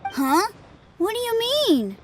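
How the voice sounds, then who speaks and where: A woman's voice: extremely high pitch, very animated, takes it slowly, background noise, full character voice. A woman voicing a cheerful, boastful small child who plays at being a martial-arts master, eager and childlike, in a video game. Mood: happy, friendly. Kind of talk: video game dialogue acting. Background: background music.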